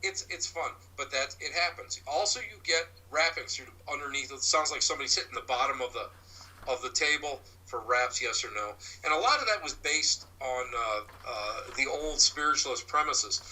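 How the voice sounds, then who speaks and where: A man with a loud voice, answering interview questions about his paranormal work in a rambling way, full of restarts and fillers. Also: medium pitch, expressive, normal speed, poor audio. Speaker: paranormal investigator and medium. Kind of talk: interview guest, casual explaining.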